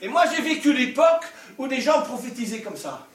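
Older male preacher, humorous asides, very high voice, distant roomy hall sound. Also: expressive, brisk, noisy background. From Scotland. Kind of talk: sermon. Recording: echoey hall, distant mic.

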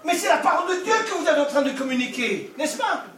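Older male preacher, humorous asides, very high voice, distant roomy hall sound. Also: animated, fast, fairly bright tone, a little hiss or hum. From Scotland. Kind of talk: sermon. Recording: echoey hall, distant mic.

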